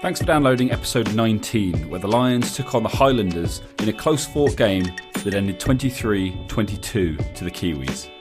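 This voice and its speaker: A man's voice: lowish voice, lively intonation, moderate pace, hardly any pauses, music in the background. An English man hosting a rugby podcast, chatting casually and rambling with frequent restarts and ums. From England. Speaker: podcast host. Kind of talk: casual chat.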